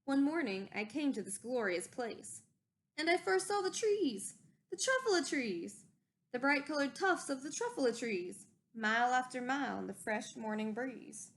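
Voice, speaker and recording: An old, secretive inventor from a storybook as a woman reads him, eager to justify himself. The voice is high and emphatic, with an excited recounting manner. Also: moderate pace. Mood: nostalgic, excited.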